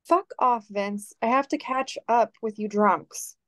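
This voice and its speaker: A woman's voice: on the high side, measured pace, fairly bright tone, no echo. A woman playing an earnest, yearning actress in love, in a screenplay table reading over video call. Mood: curt.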